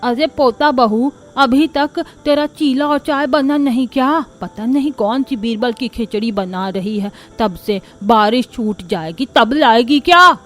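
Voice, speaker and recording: A fussy old cartoon grandmother, played by a woman, with a raised pitch. She is a demanding matriarch who speaks bossily and scolds her family. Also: unhurried, hardly any pauses, fairly bright tone. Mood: bossy, impatient. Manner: bossy, scolding. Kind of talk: Hindi family cartoon dubbing.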